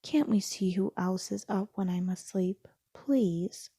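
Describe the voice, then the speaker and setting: An eager young storybook joey voiced by a woman reader. He is childlike and curious, with a soft-edged, slightly husky tone in a dry, close recording. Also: medium pitch, takes it slowly, dry recording. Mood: pleading. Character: eager little boy kangaroo joey, storybook animal.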